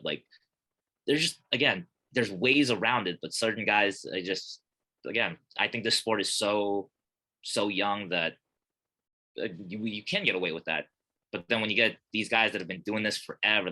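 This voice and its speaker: A young man, born in Korea and raised in Colorado, chatting as a guest from a small gym office in a relaxed, rambling way with many fillers. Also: on the low side. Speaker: guest, fighter and gym manager. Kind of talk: casual interview chat.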